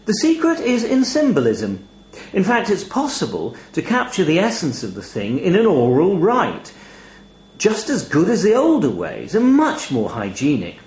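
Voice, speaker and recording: A man voicing a demon raven in a story, a courteous, sly bargainer with chatty, reassuring patter and quiet menace. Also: high-pitched, wildly swooping pitch, moderate pace, pitched above the performer's own voice. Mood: smooth persuasion. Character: bird demon toll raven, courteous, sly, chillingly matter-of-fact bargainer. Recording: roomy, echoey voice recording.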